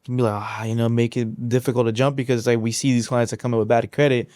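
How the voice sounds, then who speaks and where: Smooth-voiced man, just turned thirty. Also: mid-range voice, level tone, fast talker, darkish tone, dry recording, clean studio sound. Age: 30s. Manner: rambling with many likes and you knows. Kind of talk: casual podcast conversation.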